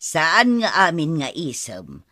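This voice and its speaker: A man's voice: a little high, wildly swooping pitch, slow pace, sharp tone, dry recording, clean studio sound, full character voice. A man with an Ilocano accent playing a skeptical, blunt friend who scolds a suitor in a radio drama. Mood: chiding.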